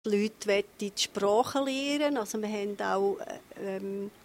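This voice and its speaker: A woman's voice: medium pitch, measured pace. A woman with a Swiss German accent giving spontaneous interview answers as the director of a meeting place. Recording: interview with clear background noise.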